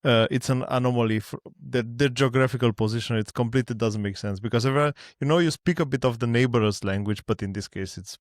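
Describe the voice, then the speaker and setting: A man from Eastern Europe hosts a relaxed podcast chat at a middle pitch. He talks haltingly, stopping and restarting as he reaches for words. His voice sounds dry and close, with no room around it. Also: dry recording. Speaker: podcast host. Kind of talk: casual interview chat.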